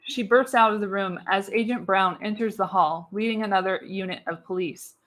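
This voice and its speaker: A woman narrates a screenplay live as a radio play. Her medium-pitched voice reads the action with dramatic, storytelling emphasis. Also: moderate pace, fairly bright tone.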